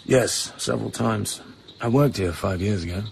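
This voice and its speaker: An actor playing a tough British secret agent in a radio drama, speaking in a clipped, commanding, understated way. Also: male voice, fairly low pitch, normal speed, creaky, slight background noise, rough recording. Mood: calm, dry.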